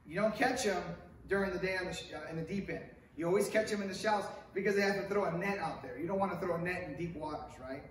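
A man's voice: high-pitched, a little hiss or hum. A middle-aged man from California preaching a conversational sermon at a lectern, gesturing widely and asking the congregation questions. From Hollywood, California. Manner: animated, wide hand gestures, asks the congregation questions. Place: church sanctuary with stone wall, at a lectern. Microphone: stand mic at the lectern, camera far off. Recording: very echoey sanctuary from far off.

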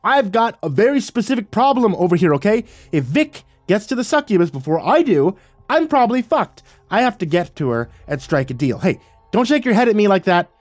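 Audiobook hothead played by a man: foul-mouthed, sarcastic, excitable and quick to fluster, music underneath. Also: medium pitch, no echo, lightly acted. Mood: exasperated, yelling. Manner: blunt, slangy, excitable, stammering when flustered. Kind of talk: audiobook character dialogue.

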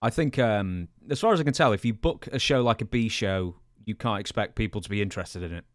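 A man's voice: on the low side, a little fast, no room sound. A man in his thirties hosting a podcast, casually putting questions into a close microphone. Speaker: podcast host, interviewer. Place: home studio room, indoors. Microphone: close broadcast microphone on boom arm.

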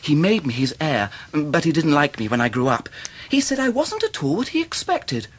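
An actor playing a cheerful, modest young law student with an English accent and an earnest, guileless, chuckling manner in a radio drama. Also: male voice, on the high side, sing-song, normal speed, husky. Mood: candid, good-natured.

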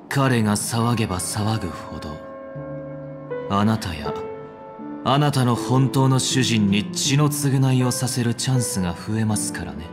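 A man voicing a composed, elegant young head of a family in a video game, speaking formally and deliberately with quiet menace. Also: medium pitch, natural voice. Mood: cold, menacing. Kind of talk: dramatic video game voice acting.

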